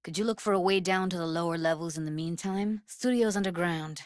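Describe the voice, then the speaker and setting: Actress as tough young woman techie, American accent, low voice, blunt slangy delivery, guarded but loyal, close dry game recording. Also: a little fast, a little mellow, dry recording, natural voice. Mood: focused, dry. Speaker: video game character voice. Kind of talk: naturalistic video game dialogue.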